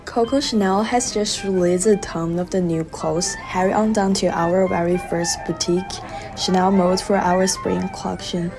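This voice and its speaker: Lowish woman as 1920s fashion spokeswoman over music. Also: steady tone, normal speed, lightly acted. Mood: promotional. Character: promotional fashion house spokeswoman on 1920s radio. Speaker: commercial announcer. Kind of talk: scripted radio commercial.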